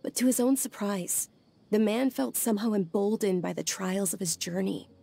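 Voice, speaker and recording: A woman playing a gentle, polite young follower of a deity in a video game, speaking with soft, hesitant courtesy. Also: medium pitch, moderate pace, gravelly. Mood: earnest storytelling. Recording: faint music behind the voice. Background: background music.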